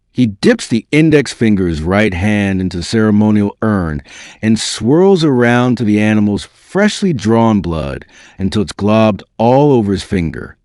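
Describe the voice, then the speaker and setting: A man's voice: lowish voice, darkish tone, no room sound, studio quality. A man reading aloud as a narrator, in a dramatic scripted audiobook style. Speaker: narrator reading a book excerpt.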